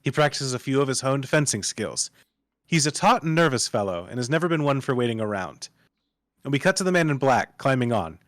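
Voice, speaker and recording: Casting: male table-read narrator, medium pitch, delivering screen directions dramatically, close dry sound. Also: big pitch swings, fairly quick, a bit bright, no room sound. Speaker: narrator reading stage directions.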